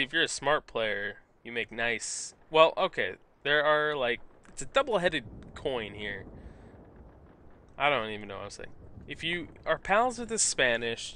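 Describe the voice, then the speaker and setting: A man's voice: medium pitch, very animated, unhurried, pushed and bright. A man casually commentating a video game he is playing, joking and reading game text aloud in silly voices. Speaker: let's play commentator. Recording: game music behind the voice.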